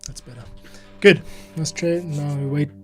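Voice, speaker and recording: A man's voice: fairly high pitch, normal speed, music in the background. Man muttering to himself while editing. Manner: muttering to himself, sometimes under his breath. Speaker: podcast editor and producer. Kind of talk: thinking aloud while editing audio.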